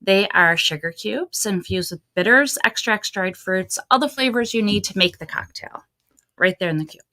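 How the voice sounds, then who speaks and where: A woman's voice: medium pitch, lively intonation, normal speed, pushed and bright. A woman guest who runs a small business, explaining her product in a friendly, plain way on a shared table mic in a basement. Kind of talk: casual livestream chat. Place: basement studio. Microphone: one shared table microphone.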